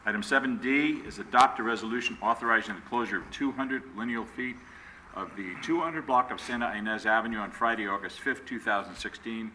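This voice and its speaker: Male mayor chairing a meeting, procedural, low and very bright tone. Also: slight background noise, low-quality recording. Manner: brisk procedural, reads agenda items.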